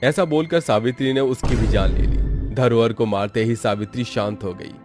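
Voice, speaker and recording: A man's voice: medium pitch, moderate pace, music in the background. Man, mid-range voice, scripted storytelling narration, horror cartoon narrator.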